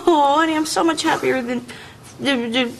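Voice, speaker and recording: A young American woman acting an expressive sitcom character in studio outtakes, with noise and an audience behind her. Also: high-pitched, normal speed, creaky. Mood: soothing. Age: young adult. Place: indoor studio sitcom set.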